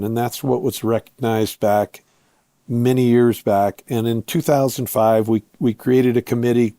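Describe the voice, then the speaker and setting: A man being interviewed as a guest, explaining his work step by step in short, measured phrases. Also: mid-range voice, mellow, no room sound. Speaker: interview guest, winery owner and viticulturist.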